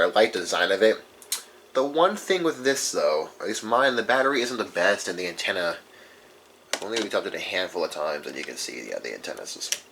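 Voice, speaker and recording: Man, low voice, informal, unscripted, rambling collector presentation, slight background noise. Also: fairly bright tone, rough recording. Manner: rambling, informal, self-correcting. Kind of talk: casual unscripted show-and-tell.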